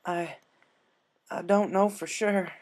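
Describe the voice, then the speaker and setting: Man acting distraught female farm pony, raised pitch, halting, heavy-hearted, storybook character. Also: steady tone. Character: shaken, guilt-ridden young female farm workhorse pony.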